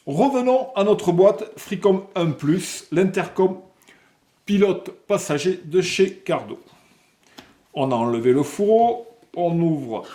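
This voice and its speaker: A man presenting a product unboxing, explaining technical details step by step and sometimes halting to find words. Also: on the high side, dark tone. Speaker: product presenter for a motorcycle accessories shop.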